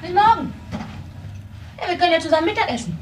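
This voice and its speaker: A woman's voice: very high-pitched, lively intonation, fast, very noisy. An actress playing a concerned, practical young female friend in a German radio crime drama. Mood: concerned, casual. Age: young adult.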